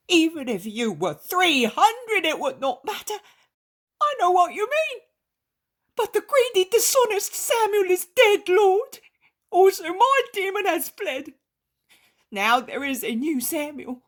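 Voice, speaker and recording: A woman's voice: high voice, voice pushed up, heavily acted. A woman voicing a repentant middle-aged male innkeeper who pleads fervently and tearfully.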